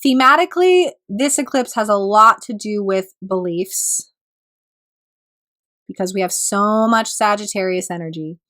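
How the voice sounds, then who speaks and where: A woman astrologer giving a reflective solo talk, her voice still recovering from a cold, with pauses and throat clearing. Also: medium pitch, sharp tone.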